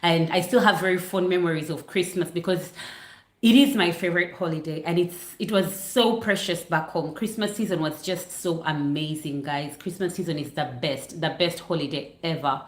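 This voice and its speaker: A woman's voice: lowish voice, normal speed. Chatty woman from Uganda, echoey room. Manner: chatty, apologetic, distracted by lighting. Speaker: vlogger hosting a livestream. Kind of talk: casual chat to a live audience. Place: home living room near a Christmas tree. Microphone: computer setup for a livestream.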